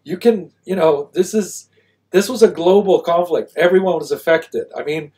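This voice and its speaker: A man's voice: on the high side, moderate pace, dark tone. Middle-aged man co-hosting, native Swedish and English. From Sweden. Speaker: live stream co-host. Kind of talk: unscripted live discussion. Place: small indoor room, seated.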